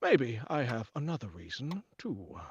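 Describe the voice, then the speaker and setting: A man's voice: mid-range voice, very animated, a little slow. A man playing a stubborn, sceptical and suspicious house buyer in 1940s radio drama style, on a lo-fi recording. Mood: pointed. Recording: dull lo-fi sound with faint noise.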